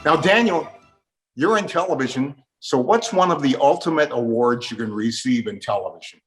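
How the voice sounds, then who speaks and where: A man's voice: medium pitch, lively intonation, moderate pace, fairly bright tone, soft background music. A man hosting a casual conversational interview, chatting with his guests and putting questions to them. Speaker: host.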